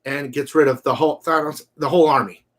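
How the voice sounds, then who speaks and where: A man's voice: fairly high pitch, steady tone, quick pace, a bit bright. Slangy, casual man hosting a review. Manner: loose, colloquial, slangy. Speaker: host of a live movie review show. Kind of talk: casual unscripted film discussion.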